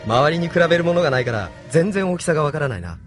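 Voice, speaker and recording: A man's voice: fairly high pitch, very animated, very fast, over music. An actor playing an earnest, unassuming young man who is new to space, in a Japanese anime audio drama. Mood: curious, polite. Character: young male hero newly in space, earnest and uneasy.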